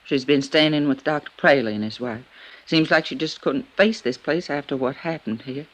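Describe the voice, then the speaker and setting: An actress playing a loyal, wary, deferential American housekeeper in a 1950s radio drama, folksy and hesitant. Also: female voice, deep voice, moderate pace, low-quality recording.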